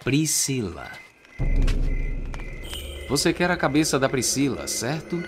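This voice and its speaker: A man with a Brazilian accent voicing a sly, condescending agent in a measured, mocking way for an anime fan dub. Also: mid-range voice, big pitch swings, over music. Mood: calm, condescending.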